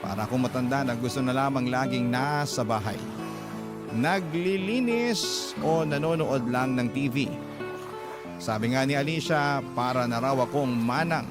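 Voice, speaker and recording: Radio drama narrator: a man reading a first-person story at medium pitch, with music behind. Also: a little slow. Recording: music under the voice.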